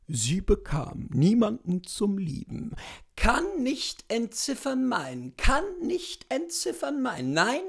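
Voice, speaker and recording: A woman's voice: mid-range voice, creaky. A woman gives a mock-serious, declamatory dramatic recitation of lyrics as poetry, drawing out her words. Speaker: reader of literary texts. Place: indoors, room with bookshelves.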